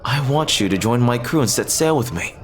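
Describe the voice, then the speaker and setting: A man playing an earnest, lovestruck pirate captain in an ASMR roleplay, speaking haltingly and tenderly in formal, old-fashioned phrases. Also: mid-range voice, expressive, close to an everyday voice. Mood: earnest, hesitant. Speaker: audio roleplay performer.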